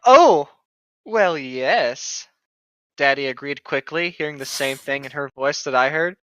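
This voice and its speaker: Bright, animated co-host on a dull lo-fi mic. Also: medium pitch, a little slow, slight background noise. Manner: animated, sweary mock outbursts. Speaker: podcast co-host reading a novel aloud with commentary. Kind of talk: casual irreverent read-along banter. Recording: dull lo-fi microphone.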